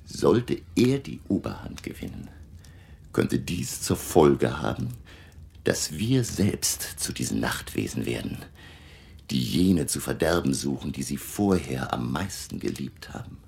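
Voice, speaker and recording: An actor playing a grave, learned vampire hunter who lectures his allies deliberately and emphatically in a German radio drama. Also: extremely low pitch, very animated, creaky, a little mellow, slight background noise, exaggerated voice. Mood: grave, resolute.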